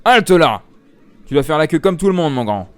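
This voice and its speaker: A bossy male gate guard voiced by a storyteller, loud and pitched up as he barks at a traveller. His tone is gruff and commanding, like a medieval storybook soldier. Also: sing-song, moderate pace, dry recording. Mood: barking. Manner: loud, barking orders.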